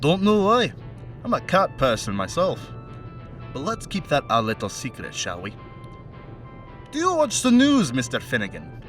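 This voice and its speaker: A man playing a mocking, menacing crime henchman with a put-on Scottish accent in an audio play monologue, chatty and sardonic with threatening pauses. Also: a little high, huge pitch swings, fairly bright tone, far from the mic, soft background music. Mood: sardonic storytelling. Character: menacing, mocking male crime henchman taunting a bound captive.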